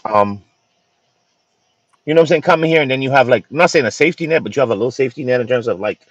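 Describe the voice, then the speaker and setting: A man from the Bahamas co-hosting a casual podcast chat, halting and repeating words with many fillers. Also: medium pitch, expressive, no room sound, highs cut off. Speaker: co-host.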